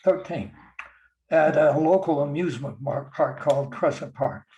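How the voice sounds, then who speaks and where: An older man on a video call gently reminiscing about his daughter, speaking slowly with soft chuckles. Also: on the high side, lo-fi sound, slight background noise. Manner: slow, gentle, warm storytelling with soft chuckles. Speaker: guest sharing memories. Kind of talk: casual reminiscing.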